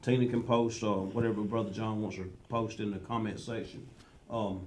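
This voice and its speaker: A man's voice: on the low side, fairly even intonation, normal speed, darkish tone. Folksy Southern man hosting. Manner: folksy, affirming. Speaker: host. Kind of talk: casual chat.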